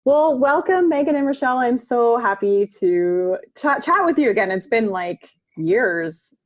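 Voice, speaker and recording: A woman's voice: a little high, lively intonation, unhurried. A woman hosting a podcast, chatting casually with her guests on a video call and often filling her talk with "like.". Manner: warm-hearted questions, many "like" fillers. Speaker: podcast host. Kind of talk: casual interview conversation.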